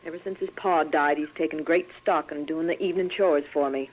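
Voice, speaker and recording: A woman's voice: sits low, steady tone, fairly quick, natural voice. An actress playing a worried, earnest widowed frontier mother in an old radio Western. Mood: anxious. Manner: earnest, anxious. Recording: noisy, dull vintage radio recording.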